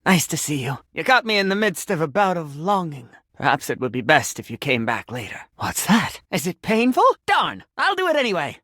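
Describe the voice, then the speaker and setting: Goofy confused sink character for a video game, flowery and dramatic, pitch all over the place, dry close sound. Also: male voice, huge pitch swings, a little fast, few pauses, bright, forceful tone, no echo, exaggerated voice. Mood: earnest, puzzled. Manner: theatrical, flowery, swinging between formal and exclamatory. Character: confused eccentric male sink who believes himself human, theatrical and sentimental.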